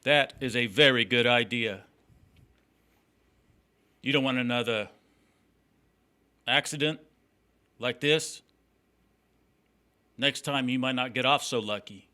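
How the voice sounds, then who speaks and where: Man acting a forceful, wry noir district attorney. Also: medium pitch, slow, long pauses, clear, resonant tone. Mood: dry, warning. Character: wry, probing district attorney, coolly skeptical. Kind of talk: noir stage drama dialogue.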